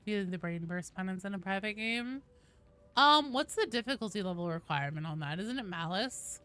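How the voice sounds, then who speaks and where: A woman's voice: medium pitch, lively intonation, normal speed, sharp tone, clean tone. A woman hosting a game stream, chatting casually and reading out viewer comments in informal, slangy language.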